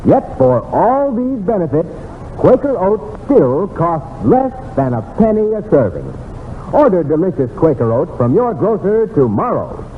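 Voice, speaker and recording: Brief: male announcer, earnest sales read, animated intonation, reverberant vintage radio sound. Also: high voice, measured pace. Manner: earnest, emphatic salesman delivery. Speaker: commercial announcer. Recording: tinny, reverberant, noisy old radio.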